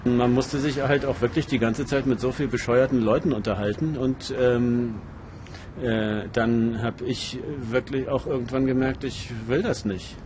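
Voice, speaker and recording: A man of middling pitch speaks spontaneously and reflectively, as an interviewee. He is halting and searches for his words. Faint outdoor urban noise sits behind his voice. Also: fairly even intonation, darkish tone.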